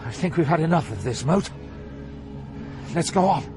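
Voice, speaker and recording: An actor playing a brisk, impatient poet guide through hell, giving commands in an audio drama. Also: male voice, on the high side, expressive, music in the background, close to an everyday voice.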